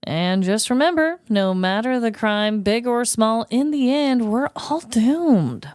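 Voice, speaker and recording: A woman co-hosting a podcast in casual, jokey conversation, informal and quick to laugh, close on the microphone. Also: fairly high pitch, expressive, darkish tone, smooth, no echo. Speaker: podcast co-host. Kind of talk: casual conversational true-crime comedy podcast chat.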